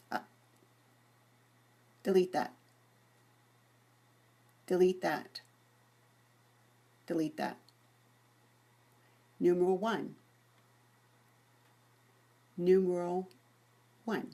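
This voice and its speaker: Low-pitched husky woman giving a software demo. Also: fairly even intonation, very slow, pauses often. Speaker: presenter of a software tutorial. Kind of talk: informal software demonstration; dictating voice commands to software.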